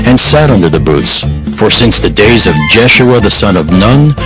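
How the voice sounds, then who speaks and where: A man narrating a dramatized scripture reading in a solemn, measured and formal style. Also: on the low side, rough recording.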